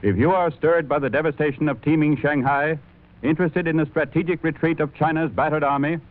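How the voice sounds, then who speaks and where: A male announcer delivering a 1930s radio advertisement in a rhythmic, building sales pitch. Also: a little fast, soft background music. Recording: tinny 1930s radio recording.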